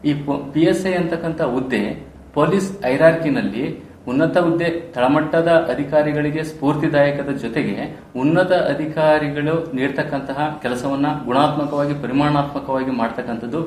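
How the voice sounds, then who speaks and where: A man's voice: fairly high pitch, normal speed, darkish tone. A man in his thirties with a Karnataka Kannada accent, telling his own story as an interview guest in earnest, formal phrasing mixed with English terms. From Karnataka, India. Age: 30s. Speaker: interview guest, police sub-inspector probationer. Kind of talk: podcast interview, personal storytelling. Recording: roomy echo, faint background noise.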